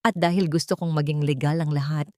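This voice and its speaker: A woman voicing a calm, wealthy young man who speaks in a measured, quiet way. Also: low-pitched, no room sound, studio quality, lightly acted.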